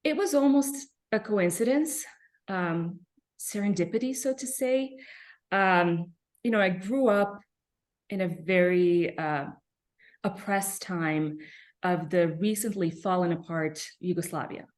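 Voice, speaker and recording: A woman from Serbia, a pianist, answering interview questions reflectively with frequent soft fillers and pauses. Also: on the low side. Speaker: pianist guest.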